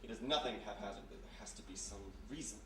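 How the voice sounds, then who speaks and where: A man playing a quick-thinking, commanding private detective in a period radio mystery, forceful and analytical. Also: lowish voice. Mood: reasoning. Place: live performance in a historic house. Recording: echoey live stage, distant, faint noise.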